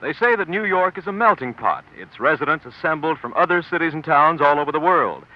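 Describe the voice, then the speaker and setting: A man's voice: a little high, expressive, brisk. An American man reporting live for 1940s radio from a city street, formally questioning passersby. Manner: formal, clearly announced, repeats answers. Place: outdoors on a busy city street. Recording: dull, noisy vintage outdoor radio broadcast.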